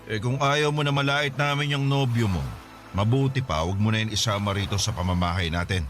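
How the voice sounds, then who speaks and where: A man's voice: on the low side, a little fast, fairly bright tone, close to an everyday voice. An actor playing a stern, commanding middle-aged father who disapproves, in a Tagalog radio drama. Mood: stern, annoyed. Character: stern, disapproving father laying down house rules.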